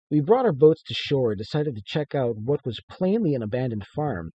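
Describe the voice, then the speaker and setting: A man's voice: medium pitch, mellow, slightly rough, muffled recording. A man giving an informal author's reading of his book, with casual, hesitant asides.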